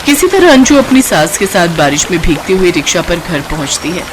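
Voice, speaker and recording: A woman's voice: fairly low pitch, sing-song, brisk, noisy background. A woman narrating a scripted family moral story.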